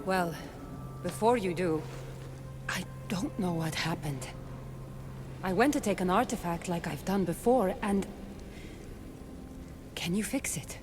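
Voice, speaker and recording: A woman's voice: on the low side, a little slow, long pauses, close to an everyday voice. An actress playing a tough female mercenary in a video game, serious, guarded and dryly spoken. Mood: troubled. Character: tough, level-headed female mercenary warrior. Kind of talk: video game cutscene acting. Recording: music behind the voice.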